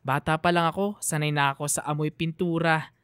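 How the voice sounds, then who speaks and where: A woman's voice: low voice, steady tone, a little fast, a bit bright, clear, resonant tone, no echo, clean studio sound. A woman radio storyteller reading a scripted, sentimental narration. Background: piano music under the voice.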